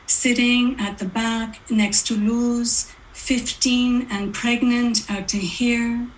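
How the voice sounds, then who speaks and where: Slow-spoken, fairly high-voiced woman poet. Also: poor audio. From Outaouais region of Quebec, Canada. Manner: hesitant, halting introductions then measured reading. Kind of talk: poetry reading with spoken introductions.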